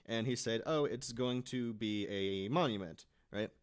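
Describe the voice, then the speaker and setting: A man's voice: medium pitch, unhurried, smooth. A man telling a story casually to friends as a podcast panelist, conversational and checking in with listeners as he goes.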